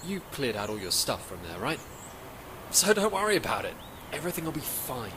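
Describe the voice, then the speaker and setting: A man's voice: mid-range voice, big pitch swings, fairly quick, noisy background, natural voice. A man playing a breezy, evasive acquaintance in casual audio drama dialogue.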